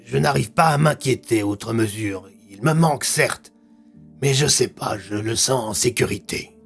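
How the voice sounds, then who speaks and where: A plain-spoken police chief played by a male narrator in an audio drama, with a medium-pitched voice. He is caring and a little weary, talking in measured phrases over soft music. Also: gravelly. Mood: reflective.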